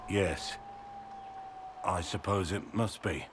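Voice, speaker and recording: A man playing a brooding, honourable middle-aged warrior in a video game, with measured, earnest delivery. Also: sits low, noisy background. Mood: sombre. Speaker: video game character voice actor. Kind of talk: dramatic game dialogue.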